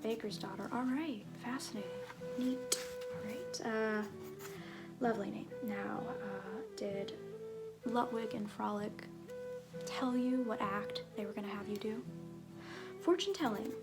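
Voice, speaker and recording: Flirty, bumbling tiefling jester, played by a woman, unhurried, higher-pitched, theatrical and self-deprecating, for ASMR roleplay over music. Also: expressive. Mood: curious, flirty. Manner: flirty, awkward, halting, theatrical. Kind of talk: ASMR character roleplay, addressing the listener.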